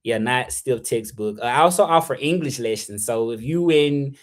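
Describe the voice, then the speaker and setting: A man's voice: medium pitch, animated, normal speed. Rambling Southern man on a livestream. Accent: Southern US (Memphis). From Memphis, Tennessee, US South. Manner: loose and rambling, with many fillers, "like" and "you know". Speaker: freelance interpreter hosting a livestream. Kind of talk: casual livestream talk.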